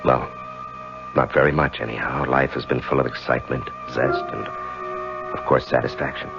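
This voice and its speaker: Very deep, gravelly haunted lawyer. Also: male voice, level tone. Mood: brooding, uneasy. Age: middle-aged. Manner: halting, brooding. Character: guilt-haunted ambitious middle-aged male lawyer. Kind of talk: 1970s radio drama acting and narration. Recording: dull old radio recording, music behind.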